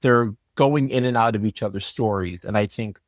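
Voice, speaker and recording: A man's voice: on the low side, normal speed, no room sound, studio quality. A man chatting casually as an interview guest, explaining things as a story editor would.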